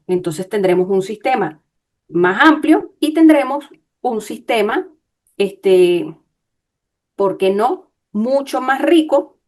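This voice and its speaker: A woman lawyer giving an online workshop, explaining in a measured way and checking in with her listeners. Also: medium pitch, a little mellow.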